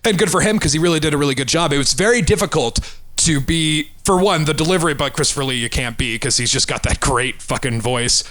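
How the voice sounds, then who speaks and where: A man's voice: fairly high pitch, animated, fast, fairly bright tone. A man talking casually about films on a podcast, emphatic and freely sweary as he gives his opinions. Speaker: film podcast panelist. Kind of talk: casual film discussion.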